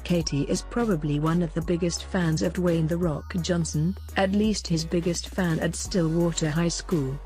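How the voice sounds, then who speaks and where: A low-pitched woman narrates a scripted feel-good story. Her voice is mellow and relaxed, with faint music playing behind her. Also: normal speed, few pauses.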